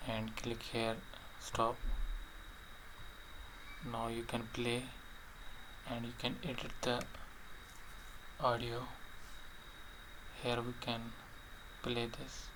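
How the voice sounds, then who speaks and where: Slow, flat-voiced man over clear noise. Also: mid-range voice. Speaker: tutorial presenter. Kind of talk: step-by-step software tutorial narration. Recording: clearly noisy voice-over recording.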